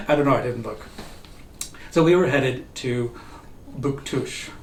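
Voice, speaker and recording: A man's voice: mid-range voice, slow pace. A middle-aged man co-hosting a casual chat, telling stories and laughing often. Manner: chatty storyteller, laughs often. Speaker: co-host. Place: wood-panelled cabin room, seated at table. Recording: roomy, distant, faint hiss.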